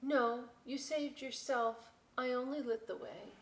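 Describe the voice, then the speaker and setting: A woman's voice: high-pitched, steady tone, unhurried, a little hiss or hum, pitched above the performer's own voice. A woman voicing a motherly, steadfast lighthouse in a children's storybook, dramatic and caring. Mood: tender. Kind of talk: children's storybook read-aloud.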